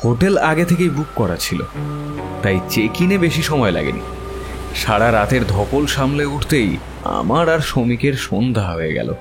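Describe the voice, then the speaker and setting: Male audio-story narrator, mid-pitched, measured and literary, with prominent guitar music underneath. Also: sing-song. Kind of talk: scripted first-person story narration. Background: plucked guitar music.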